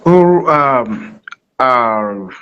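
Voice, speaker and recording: A man's voice: mid-range voice, expressive, extremely slow, smooth. A man from Nigeria with a Nigerian accent speaks formally as a guest presenter on a live online talk, explaining things with emphatic repetition. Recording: dull lo-fi online call audio.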